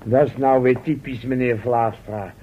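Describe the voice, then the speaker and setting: An actor in an old radio drama playing a cheeky young manservant, colloquial and given to complaining. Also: male voice, medium pitch, steady tone, a little slow, highs cut off, close to an everyday voice. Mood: grumbling. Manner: colloquial, complaining, clumsily gallant. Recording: thin band-limited old radio recording, faint noise.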